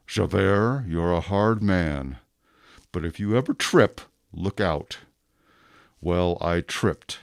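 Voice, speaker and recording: A man's voice: fairly low pitch, no room sound, clean studio sound. A man playing a rigid, bitter police inspector in a period audio drama, speaking in a clipped, emphatic, formal way. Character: rigid, self-punishing male police inspector, stern and bitter. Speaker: actor. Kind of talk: radio-style period drama acting.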